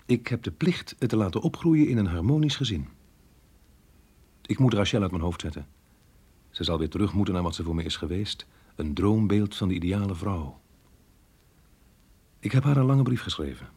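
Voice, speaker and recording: Radio drama: remorseful married diarist, low register, slightly rough tone, reflective and measured. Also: male voice, lively intonation, darkish tone, no echo, heavily acted. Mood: guilty, resigned. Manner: earnest, reflective, measured delivery. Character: married man, melancholy diarist torn by guilt over lost love. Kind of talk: radio drama monologue, reading a diary.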